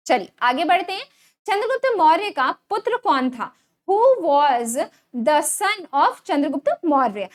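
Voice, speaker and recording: A woman with an Indian accent teaching an exam quiz lesson, reading out questions and options and repeating key answers with frequent check-in tags. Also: high voice. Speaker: online exam-prep teacher.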